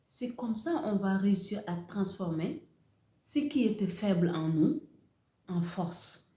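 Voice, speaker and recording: Slow-speaking woman, echoey room. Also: medium pitch, animated, dark tone. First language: Wolof. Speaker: host of a motivational channel. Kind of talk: motivational talk to camera.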